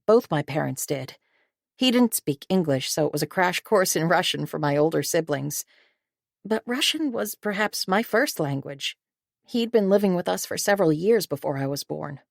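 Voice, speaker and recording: Young woman, first-person audiobook narrator, lowish voice, dry close studio sound. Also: vocal fry, dry recording.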